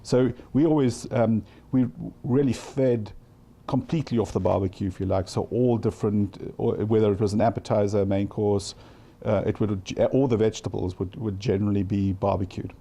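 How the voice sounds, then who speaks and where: Low, mellow South African man. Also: fairly even intonation, moderate pace. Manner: thoughtful, restarts often, repeats words. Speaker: interviewee. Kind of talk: casual interview.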